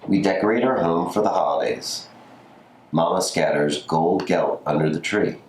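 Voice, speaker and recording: A man's voice: deep voice, normal speed, a little mellow. A man reading a children's holiday picture book aloud as a scripted narration. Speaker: community story reader. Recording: roomy echo, faint background noise.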